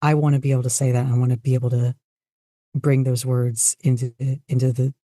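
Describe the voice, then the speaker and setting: Mellow-voiced podcast guest, hesitant and dry-miked. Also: medium pitch, little pitch movement, a little fast, no echo. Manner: hesitant, self-deprecating. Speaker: podcast guest, fan fiction writer and podficcer. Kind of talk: casual interview chat.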